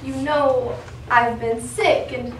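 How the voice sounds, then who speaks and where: Distant echoey stage, faint noise, young woman as tender sick mother, high voice, loving and earnest. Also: steady tone, slow, fairly bright tone. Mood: tender, sad. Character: gentle, ailing, loving mother of a young boy. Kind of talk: high school readers theatre acting.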